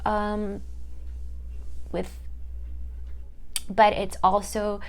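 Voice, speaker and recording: Slow, gravelly-voiced young woman. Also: medium pitch, monotone, darkish tone. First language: Italian. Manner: halting, searching for words with many fillers and restarts. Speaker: student. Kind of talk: personal reflective monologue.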